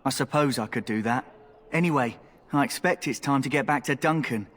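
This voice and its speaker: An actor playing a cheeky, streetwise young rogue recruit in a fantasy video game, chatty and wry. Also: male voice, mid-range voice, brisk, fairly bright tone, close to an everyday voice. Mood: wry, uneasy.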